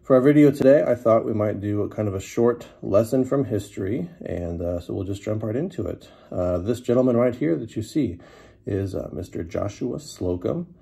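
A man giving a relaxed, casual history lesson to the camera, with many fillers, unhurried. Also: lowish voice, creaky, breathy edge. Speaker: teacher.

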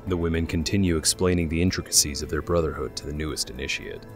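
A man's voice: rumbling low, fast talker, over music. A man reading scripted narration for a fantasy audio drama.

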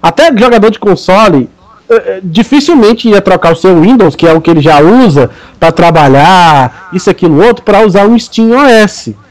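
A man with a Brazilian Portuguese accent and a notably high voice co-hosts a casual gaming podcast. He talks emphatically and lectures his listeners. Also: expressive, moderate pace, poor audio. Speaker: podcast co-host.

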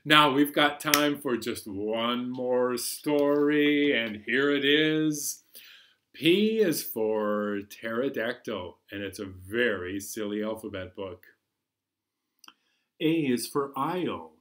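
Playful, unhurried middle-aged male storytime host. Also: medium pitch, animated, fairly bright tone. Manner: rhythmic, playful. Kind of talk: children's songs and picture-book reading. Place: living room at home.

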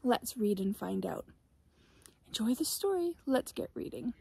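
A woman, reading a children's storybook aloud in an expressive, deliberate way. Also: fairly high pitch, creaky. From Canada. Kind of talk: animated storybook read-aloud.

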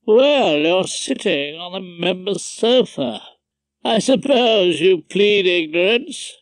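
A man's voice: sits high, lively intonation, slow, dry recording, voice pushed up, low-quality recording. A man voicing a pompous, condescending older male judge in an audiobook, with a haughty drawl.

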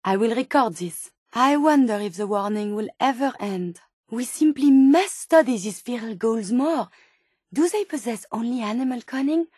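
A woman's voice: a little high, lively intonation, moderate pace, darkish tone, slightly rough, no room sound, clean studio sound. A woman voicing a polite, earnest female robot scientist companion in a video game, with a French accent and precise, formal, inquisitive speech. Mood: curious.

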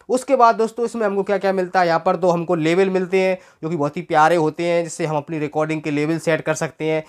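Higher-pitched man demonstrating gear. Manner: repetitive, explanatory, addressing viewers as friends. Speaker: presenter demonstrating audio equipment for sale. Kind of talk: casual product demo narration.

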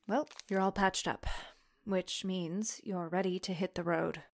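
A woman's voice: lowish voice, steady tone, measured pace, dry recording. An actress playing a guarded, bitter woman in an audio drama, speaking in a sarcastic, curt, profane way. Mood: exasperated, hostile. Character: Florence, guarded, bitter adult woman, wary school survivor. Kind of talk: naturalistic audio drama acting.

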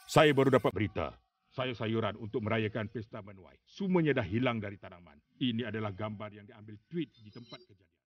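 A man voicing a stern, authoritative commander in a children's cartoon, speaking Malay in a commanding, deliberate way. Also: lowish voice, distant mic, lightly acted. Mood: grave briefing. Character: stern male commander of animal agents, urgent and authoritative. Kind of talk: animated children's cartoon dubbing.

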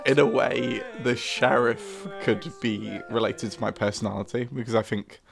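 A man's voice: medium pitch, steady tone, moderate pace, gravelly, over music. A man chatting casually as co-creator on a commentary, hesitant and self-deprecating. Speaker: puppet show co-creator giving audio commentary.

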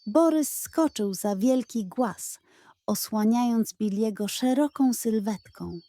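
A woman narrating a children's audio story in a dramatic, measured storytelling manner. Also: on the high side, dark tone, no room sound.

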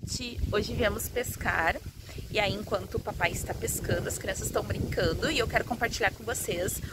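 A woman's voice: medium pitch, a little fast. A woman in her thirties with a southern Brazilian accent, chatting casually as a vlogging mother. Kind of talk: casual family vlog chat. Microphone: phone at arm's length. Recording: noisy outdoor phone video.

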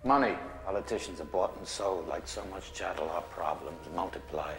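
An actor playing a grave, disillusioned middle-aged radical in a quiet film drama. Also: male voice, low voice, normal speed. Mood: grave, bitter. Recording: echoey film scene, humming noise.

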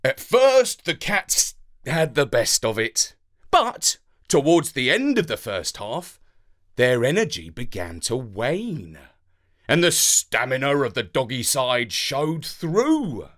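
A man's voice: mid-range voice, big pitch swings, slow pace, a bit bright. A man reading a children's story in a dramatic, playful way, as scripted narration.

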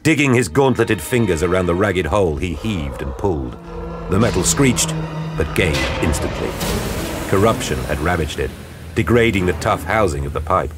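A man giving a grave, measured, dramatic narration for an audio drama. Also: low-pitched, lively intonation. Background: dramatic music.

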